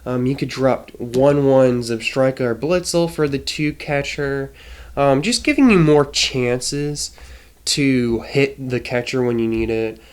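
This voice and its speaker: A man's voice: medium pitch, measured pace, clear, resonant tone. A man with a nasal, stuffy voice from a cold, casually explaining a card game deck with many hesitations. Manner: hesitant, frequent ums and restarts. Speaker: trading card game content creator.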